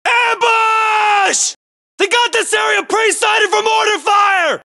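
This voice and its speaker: Casting: battle-hardened game commando under fire, very high strained yell, frantic clipped barks, gravel in the throat. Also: male voice, brisk, exaggerated voice. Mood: alarmed. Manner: clipped, urgent barking. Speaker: soldier in battle chatter. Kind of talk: shouted military game combat callouts.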